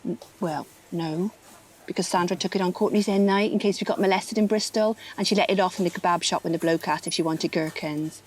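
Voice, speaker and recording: An actress playing a prim, dim, officious shop assistant in a comedy audio play, matter-of-fact, suspicious and gossipy. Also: female voice, lowish voice, a little fast, natural voice, poor audio. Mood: indignant, gossipy.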